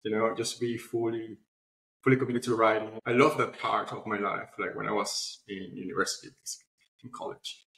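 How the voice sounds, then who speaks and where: A man's voice: on the low side, steady tone, normal speed, fairly bright tone. A man speaking as an interview guest, casually telling his story in loose, conversational phrasing, recorded with roomy echo. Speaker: interview guest, platform founder. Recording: roomy, echoing voice pickup.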